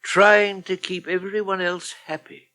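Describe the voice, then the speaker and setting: Radio soap: elderly, self-pitying Englishman. Genteel grumbler, dry wit, slightly high forceful voice. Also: flat delivery, measured pace, smooth. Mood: mournful, wry. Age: older. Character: frail, self-pitying old man, fussy and drily gloomy. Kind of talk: British radio soap acting.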